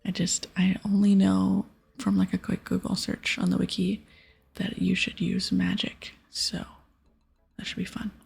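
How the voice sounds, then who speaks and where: A woman whispering in a breathy ASMR style as she casually plays through a game, chatting softly and reading dialogue aloud. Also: medium pitch, monotone, measured pace. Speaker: host of an ASMR gaming series.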